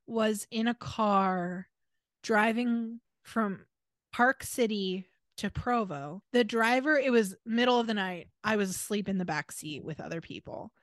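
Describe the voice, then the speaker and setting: A woman's voice: mid-range voice, unhurried, no echo. American woman podcaster, close and dry sound. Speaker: podcast co-host. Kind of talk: casual chat.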